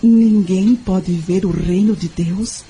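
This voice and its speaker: Mellow-voiced devoted young mother played by an actress. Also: mid-range voice, slow, close to an everyday voice, poor audio. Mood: absorbed, thoughtful. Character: devoted, grateful young mother, searching for faith. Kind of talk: audio drama acting.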